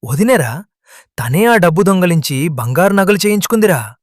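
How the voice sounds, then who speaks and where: Cartoon drama: man voicing an agitated young husband, high and animated, dry. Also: moderate pace, no echo. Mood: accusing. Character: accusing younger man of the family.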